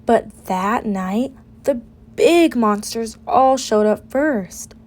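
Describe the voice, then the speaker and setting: A woman reading a children's picture book aloud with an expressive, deliberate delivery. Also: on the high side. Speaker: storybook reader.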